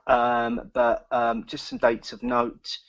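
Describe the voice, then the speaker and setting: A man's voice: mid-range voice, completely flat delivery, takes it slowly, a bit bright, no echo, highs cut off. A man co-hosting a podcast, reading the news and chatting casually with many ums and restarts. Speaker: podcast co-host.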